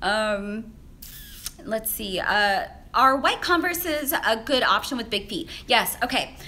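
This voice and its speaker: A young woman whose first language is Vietnamese, chatting through a casual live Q&A as a menswear stylist, filming herself on a handheld phone in a high-rise office. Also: animated, slow pace, bright, forceful tone. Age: young adult. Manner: chatty, upbeat, laughs often, many fillers. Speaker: menswear stylist answering viewer questions. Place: high-rise office with large windows, indoors. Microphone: handheld phone at arm's length, shaky.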